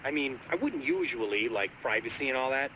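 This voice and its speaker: Animated, creepy male driver caller. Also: medium pitch, a little fast, a little hiss or hum, low-quality recording. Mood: casual. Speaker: radio caller. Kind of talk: radio drama acting in a video game.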